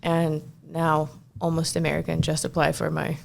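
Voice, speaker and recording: A woman in her thirties from Ukraine whose first language is not English, a physician guest chatting in a casual podcast interview, animated and laughing often. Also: deep voice, normal speed, gravelly.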